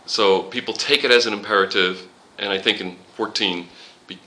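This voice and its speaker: A man's voice: fairly low pitch, steady tone, moderate pace, sharp tone, poor audio. A man leading an informal Bible study class, quoting scripture and joking with the students. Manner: conversational, quotes scripture, jokes with the class.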